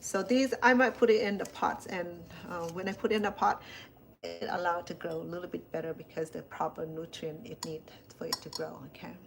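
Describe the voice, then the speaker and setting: Cambodian lady in her 50s chatting at home, lowish voice, lots of restarts, bit of hiss in the background. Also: fairly even intonation, brisk, creaky. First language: Khmer. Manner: chatty, repeats words as she goes. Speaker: chef and home cook. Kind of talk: casual home vlog, showing plants. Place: indoors at home.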